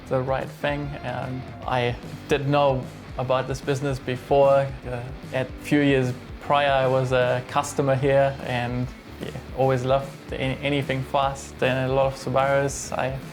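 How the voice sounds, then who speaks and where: A middle-aged man from Germany with a German accent, talking casually and hesitantly about his workshop. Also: mid-range voice. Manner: relaxed, hesitant, many fillers and restarts. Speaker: workshop owner. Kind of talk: casual interview.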